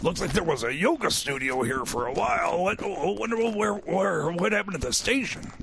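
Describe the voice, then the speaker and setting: A man's voice: high-pitched, lively intonation, a little fast, gravelly, a crowd in the background. A man playing a bewildered, hesitant mechanic in a comedy radio play, stammering and muttering to himself, with music behind him. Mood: puzzled.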